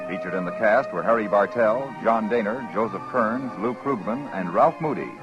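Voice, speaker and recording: A man's voice: fairly low pitch, moderate pace. An American man announcing and reading ads in polished, emphatic 1950s radio style. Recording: muffled old radio, music behind.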